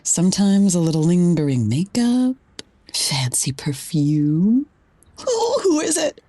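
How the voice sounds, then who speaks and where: A flirty, matter-of-fact librarian in an audio comedy. Her voice is low and very expressive, recorded dry and close. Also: slow, slightly rough, no echo, heavily acted. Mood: teasing. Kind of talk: scripted audio comedy acting.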